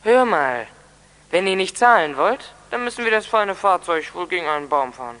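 A young thug with a high, sharp voice in a long hall reverb. He taunts and threatens in slang, a sneering crook in a radio play. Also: lively intonation, moderate pace. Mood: sneering menace. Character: menacing young male thug extorting ransom money. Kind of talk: German youth audio drama acting.

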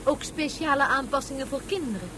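An actress playing a kind, patient mother in a science fiction radio play, speaking gently. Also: high voice, a little fast, a little hiss or hum. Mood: patient, kindly.

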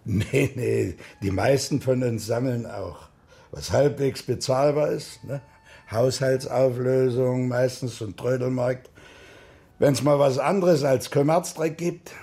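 An actor playing an older, opinionated ship-club member in a radio drama, talking colloquially in a gravelly voice. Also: male voice, medium pitch, dark tone. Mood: chatty, proud. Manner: colloquial, halting asides. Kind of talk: naturalistic radio drama acting.

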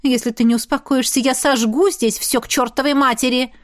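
A woman's voice: high voice, steady tone, brisk, dry recording, studio quality, close to an everyday voice. A woman voicing a plucky, wry countess with witchly powers in an audiobook, exclamatory and insistent. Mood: threatening, wry.

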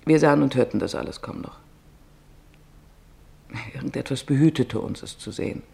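A weary, sorrowful camp survivor, voiced by a man, gives testimony in a radio play. The voice has a heavy creak and a medium pitch. The recollection is plain and subdued. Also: normal speed, warm tone. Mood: sorrowful, haunted.

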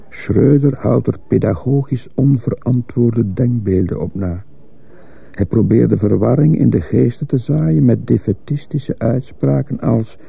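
A man's voice: medium pitch, gravelly, dark tone, breathy edge, full character voice. A man playing a grave teacher who narrates his memories as a witness in a scripted radio drama. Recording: muffled old radio play recording.

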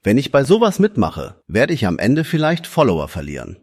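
A man playing an evasive, image-conscious social media type in a satirical radio play, with a husky voice. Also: mid-range voice, huge pitch swings, fairly quick, darkish tone. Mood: uneasy.

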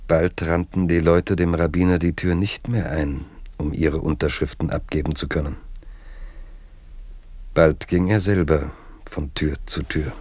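An actor narrating a radio drama as a measured, literary chronicler with dry irony. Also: male voice, very deep voice, fairly even intonation, a little mellow, slightly rough, no echo, exaggerated voice. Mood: measured, ironic.

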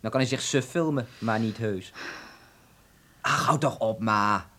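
A woman's voice: extremely low pitch, measured pace, fairly bright tone, full character voice. An actress playing a blunt, mocking woman who speaks sarcastically and dismissively to her family in a radio drama. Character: sarcastic, dismissive female relative, mocking the worrier.

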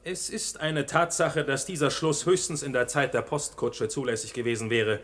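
An actor playing an eager, persuasive defence lawyer, arguing formally in a radio play. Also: male voice, medium pitch, steady tone, moderate pace, a bit bright, lightly acted. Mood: insistent. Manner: formal, argumentative. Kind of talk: German radio play acting.

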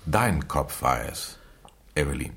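An actor playing a methodical, encouraging gentleman who explains a case in a radio crime drama, husky and emphatic. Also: a bass voice, animated, takes it slowly, heavily acted. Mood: probing.

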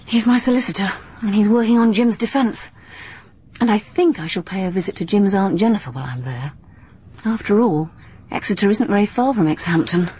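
An actress playing a determined young woman turned amateur detective, speaking crisply and insistently. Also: mid-range voice, lively intonation, brisk, warm tone.

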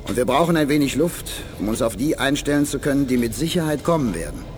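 A man's voice: medium pitch, animated, fast talker, a little hiss or hum, lightly acted. An actor playing a tough male spaceship gunner in a 1980s sci-fi radio play, blunt and terse. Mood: sober.

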